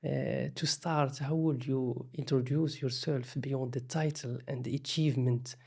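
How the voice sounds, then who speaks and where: A man hosting an interview podcast, asking measured, prepared questions. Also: mid-range voice, steady tone, gravelly, warm tone, breathy edge. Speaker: podcast host.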